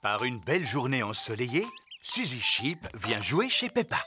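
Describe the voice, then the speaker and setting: Animated male cartoon narrator over music. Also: mid-range voice, moderate pace. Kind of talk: scripted children's cartoon narration. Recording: loud music behind narration. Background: loud music.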